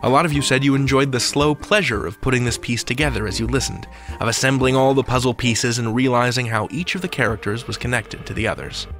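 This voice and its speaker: A man's voice: mid-range voice, fast talker, few pauses, a bit bright, soft background music. An American man hosting a podcast countdown with playful, theatrical scripted narration. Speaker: podcast host.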